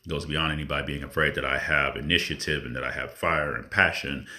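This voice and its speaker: A man with a deep voice giving a spontaneous spiritual monologue, earnest and reflective, with drawn-out pauses. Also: fairly even intonation, bright, forceful tone. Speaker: spiritual vlogger.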